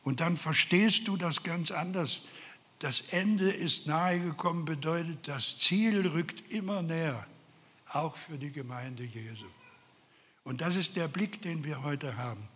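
Older male preacher, slow and earnest, slightly high voice, reverberant hall. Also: sharp tone. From Carpathian Ukraine. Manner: earnest, emphatic, addresses listeners directly. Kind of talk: sermon.

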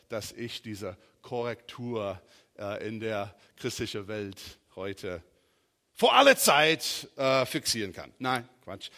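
A middle-aged American man, a native English speaker with an American accent, preaches in a large, echoing hall. His voice sits a little high and swings animatedly up and down. He speaks slowly and haltingly, in an informal way, feeling for his words. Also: a bit bright. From southern and western United States. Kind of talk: sermon. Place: large reverberant room, preaching to a congregation. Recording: reverberant hall.

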